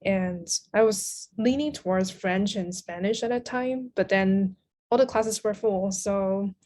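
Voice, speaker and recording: Mid-pitched woman, explanatory interview guest. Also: unhurried, mellow, slight background noise. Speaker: guest, literary translator. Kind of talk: casual interview conversation.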